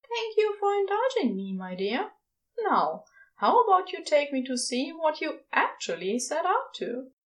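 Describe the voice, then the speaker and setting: A prim, fond angel of a gentleman, played by a woman, pitched well up and swooping expressively. He speaks with polite, old-fashioned courtesy, curious and gently teasing, in the manner of a storybook character. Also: very animated, a little slow, highs cut off. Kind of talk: fan fiction audiobook character voice.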